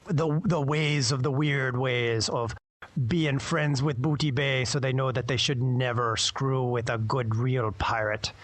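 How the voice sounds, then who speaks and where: A man's voice: medium pitch, steady tone, unhurried, fairly bright tone, no echo, lightly acted. Man putting on a jovial, swaggering pirate. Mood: rambling. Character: jovial swaggering male pirate radio guide.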